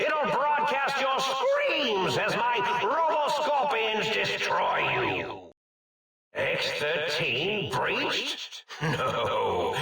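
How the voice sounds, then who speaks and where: An actor playing an eccentric, forgetful elderly mad scientist in a video game, creaky and raspy, theatrical, pompous and rambling. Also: male voice, sits high, sharp tone, no room sound, full character voice. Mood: gloating, menacing. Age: older. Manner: rambling, pompous, absent-minded, melodramatic.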